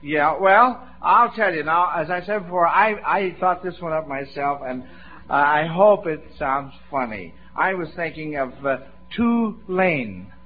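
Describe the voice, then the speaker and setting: A man's voice: a little high, animated, unhurried. An American man hosting a 1940s radio quiz show, folksy and encouraging, with chuckles in his talk. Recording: dull vintage radio broadcast recording.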